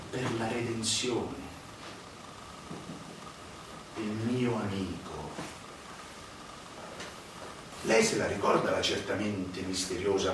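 A man on stage playing a brooding, self-justifying cleric in a theatrical monologue, declaiming with repetitions and deliberate pauses. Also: medium pitch, a little hiss or hum. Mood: insinuating. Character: brooding, self-justifying male cleric, confessor to powerful schemer. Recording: echoey, distant stage recording with music behind.

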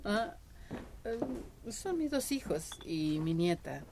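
A woman's voice: lowish voice, very animated, slow pace, darkish tone, a little hiss or hum, heavily acted. An actress playing a reserved older village woman in a radio drama, speaking expressively. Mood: guarded.

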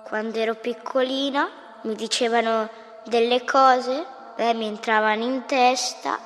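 A young-sounding female in a radio play, speaking in simple, childlike, halting and repetitive phrases. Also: on the high side, fairly even intonation. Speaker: performer in a live radio play. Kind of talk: simple childlike dialogue and teaching. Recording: very reverberant hall, faint music.

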